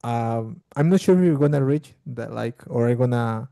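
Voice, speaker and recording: Halting, very dark-voiced man. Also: medium pitch, vocal fry, dry recording, clean studio sound. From Bolivia. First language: Spanish. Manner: halting, repeats words while searching for them. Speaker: language exchange participant. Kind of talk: casual conversation practice.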